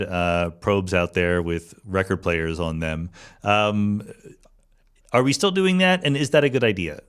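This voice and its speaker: Low-voiced man hosting a casual interview. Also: clean tone. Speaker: podcast host, interviewer.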